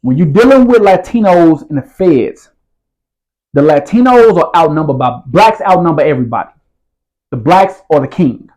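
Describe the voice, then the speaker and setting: A man's voice: a little high, animated, moderate pace, a little mellow, rough recording. A man, telling his story as an interview guest in casual street slang and often asking whether the listener follows. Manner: streetwise slang, repeats "you feel what I'm saying".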